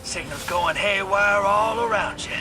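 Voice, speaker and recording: A man's voice: high voice, a little slow, poor audio. An actor playing a cocky, folksy, wisecracking rogue gunslinger in a video game, with a slangy, teasing showman's delivery. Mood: wry, cocky. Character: cocky, roguish gunslinging hustler, long-lived, folksy and wisecracking. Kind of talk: animated video game acting. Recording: music behind the voice.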